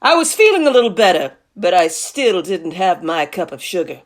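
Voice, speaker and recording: A woman's voice: fairly low pitch, very animated, normal speed. A woman voicing a folksy, indignant male wolf who tells his side of a storybook tale with mock innocence. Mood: self-excusing.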